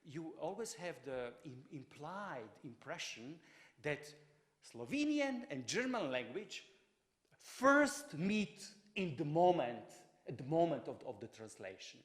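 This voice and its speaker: Halting man lecturing in a hall. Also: on the high side, very animated. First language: Croatian. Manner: halting, many fillers and restarts. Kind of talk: public lecture.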